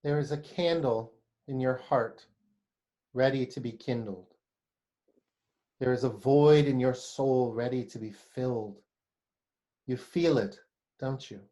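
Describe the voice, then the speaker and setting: A man's voice: mid-range voice, takes it slowly, pauses often, mellow, natural voice. A man in a play reading over video call, playing a shy, earnest young poetry lover, hesitant and apologetic. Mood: reverent, hushed.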